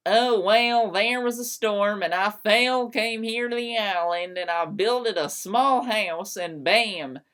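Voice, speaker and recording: A woman's voice: medium pitch, slow, hardly any pauses, fairly bright tone. A woman voicing a gushing, scheming teenage fangirl in an amateur fanfiction reading, stammering eagerly. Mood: flustered, eager.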